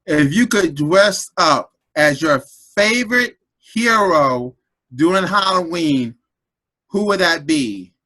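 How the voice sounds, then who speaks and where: High, bright-voiced man running a meeting. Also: animated, slow, low-quality recording. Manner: playful, repeats his questions. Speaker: table topics master. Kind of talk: running a club meeting segment. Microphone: video call.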